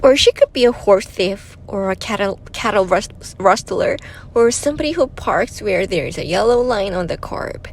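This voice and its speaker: A woman voicing an excitable, imaginative young schoolboy in an emphatic storybook read-aloud. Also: mid-range voice, moderate pace. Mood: curious, speculating. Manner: emphatic, exclamatory.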